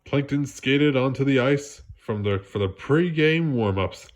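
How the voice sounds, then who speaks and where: A man's voice: medium pitch, animated, unhurried. A man narrating a storybook read-aloud with a congested, stuffy voice. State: congested, coughing.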